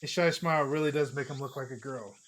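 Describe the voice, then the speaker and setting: A hoarse man streaming, reading a visual novel aloud in a casual, chatty way with small slips. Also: mid-range voice, fast, fairly bright tone, a little hiss or hum. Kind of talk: narrating a visual novel live.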